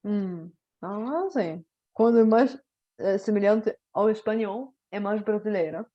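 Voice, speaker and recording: Slow-spoken Bulgarian woman host, lo-fi. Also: mid-range voice. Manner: slow, questioning, attentive listener. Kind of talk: casual interview conversation.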